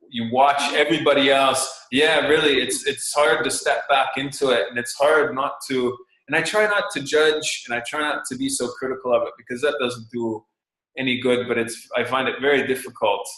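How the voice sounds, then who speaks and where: A man's voice: mid-range voice, fairly bright tone. Young Canadian man, interview guest, rambling and thoughtful with lots of ums, roomy echo on the voice. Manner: thoughtful, rambling with many fillers and restarts. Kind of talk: casual interview talk.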